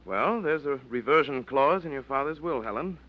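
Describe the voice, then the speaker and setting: A patient family lawyer who reasons gently but firmly, voiced by an actor. His voice has a mid pitch that moves up and down a lot. It is muffled, as on an old radio recording. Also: lively intonation, moderate pace, close to an everyday voice. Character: measured male family lawyer. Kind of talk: 1940s radio acting.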